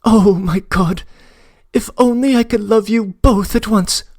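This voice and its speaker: A man's voice: high-pitched, a little slow, a little mellow, no echo, clean studio sound, voice pushed up. A man reading aloud, voicing a young woman's tearful, loving letter with an imploring manner. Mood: pleading. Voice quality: emotional, imploring. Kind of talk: audiobook reading.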